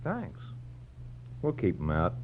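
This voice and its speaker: A shrewd police lieutenant in an old radio detective drama. He is terse and skeptical, with a low voice that swings widely in pitch. The recording is tinny and hums. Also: wildly swooping pitch, takes it slowly, full character voice. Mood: shrewd, easygoing. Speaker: supporting actor. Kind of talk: 1940s radio noir acting. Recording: tinny, noisy 1940s radio recording with hum.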